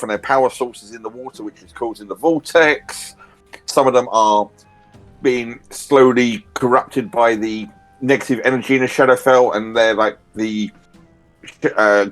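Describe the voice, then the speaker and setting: Male player, relaxed recap, stop-start delivery, mid-range voice. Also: mid-range voice, poor audio. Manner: hesitant, casual, filler-heavy. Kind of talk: recapping a tabletop session.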